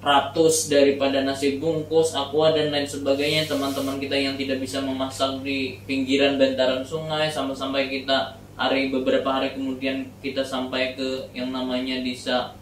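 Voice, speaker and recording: A man's voice: mid-range voice, completely flat delivery, normal speed. A man, answering casually and wordily as an interview guest. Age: early 30s. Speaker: podcast guest, youth organisation secretary and teacher. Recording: echoey room, distant mic, faint noise.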